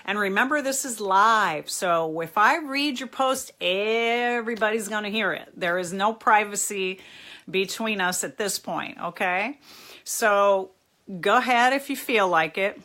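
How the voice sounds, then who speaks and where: Middle-aged woman, slightly raspy, bright tone, chatty live-stream host. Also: medium pitch, measured pace. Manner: chatty, warmly greets viewers by name. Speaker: therapist hosting a live Q&A. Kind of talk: casual live-stream chat. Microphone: phone or webcam, live stream.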